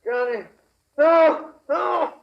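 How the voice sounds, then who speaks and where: An actor playing a frightened, delirious young wounded crook, groaning in a 1940s radio drama. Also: male voice, very high voice, very slow, highs cut off, exaggerated voice. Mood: delirious, terrified. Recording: very noisy old radio recording.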